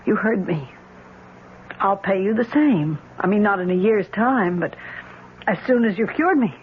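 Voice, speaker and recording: Woman acting a wealthy, insistent patient, lively voice. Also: medium pitch, a little slow, lightly acted. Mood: desperate, pleading. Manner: insistent, dramatic delivery. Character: wealthy, desperate, stubborn female patient. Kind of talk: old-time radio drama acting. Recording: dull lo-fi radio recording with hiss.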